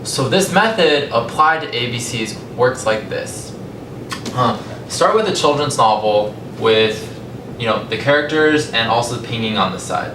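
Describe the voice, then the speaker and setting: Young male presenter, American accent, conversational storytelling with measured pauses; roomy, noisy recording. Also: expressive. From California, United States. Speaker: language company founder giving a talk. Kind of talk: public talk, personal storytelling. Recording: echoey, distant mic, rumbling noisy room.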